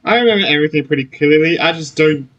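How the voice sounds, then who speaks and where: A man's voice: on the high side, fast talker, a bit bright. A man giving casual reactions as he plays through a video game. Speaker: gamer playing along. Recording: dull, lo-fi microphone.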